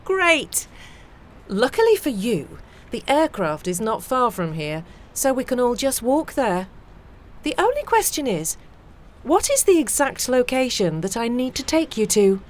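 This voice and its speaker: A woman playing a helpful, chatty former pilot in an amateur audio play, speaking in a deliberate, friendly way. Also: on the high side, sing-song. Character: helpful, chatty female ex-pilot offering a rescue flight. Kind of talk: amateur audio drama acting.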